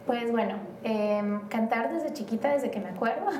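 A woman speaks as an interview guest, her voice mellow and set a little high. She wanders through her thoughts with plenty of fillers and restarts. The recording is roomy and a bit distant from the mic, with faint noise underneath. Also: normal speed. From Mexico. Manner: rambling, hesitant, many fillers. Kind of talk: casual interview conversation.

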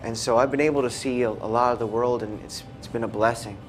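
A man's voice: mid-range voice, fairly even intonation, fast talker, slight background noise, low-quality recording. A man from the United States speaking casually as an interview guest, easygoing and laid-back. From United States, after early childhood abroad. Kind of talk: casual chat.

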